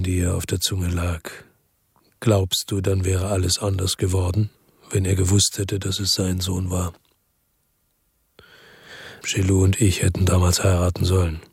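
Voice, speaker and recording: An actor playing a world-weary, brooding middle-aged man who narrates a radio crime drama in terse phrases, his voice husky and gravelly. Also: low-pitched, darkish tone, dry recording, clean studio sound, heavily acted. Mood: bitter, exhausted.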